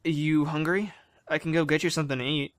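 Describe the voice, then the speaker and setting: Performer as young brother, medium pitch, dry close sound, casual, teasing, protective, audio drama. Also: fairly even intonation, brisk, dry recording, lightly acted. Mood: gentle, casual. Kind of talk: dramatic audio acting, naturalistic dialogue.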